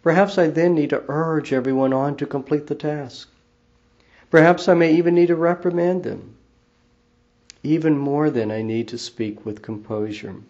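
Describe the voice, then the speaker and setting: A man who serves as executive minister of a church, giving a calm, measured devotional talk in a quiet voice. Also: on the high side. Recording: slightly dull lo-fi recording.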